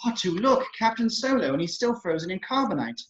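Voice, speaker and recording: A man's voice: high-pitched, very animated, a little fast. A man voicing a fussy, anxious, polite protocol droid with prim, formal diction in an online table read. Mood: fretful. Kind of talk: amateur table read over video call. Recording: muffled video-call audio.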